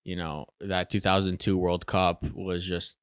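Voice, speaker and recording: Young man from Chicago, deep clean voice, laid-back guest talk, dry close sound. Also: unhurried, clean tone, no echo. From Chicago, United States. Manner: relaxed, many fillers and restarts, laughs easily. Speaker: podcast guest, soccer player. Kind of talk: casual interview chat.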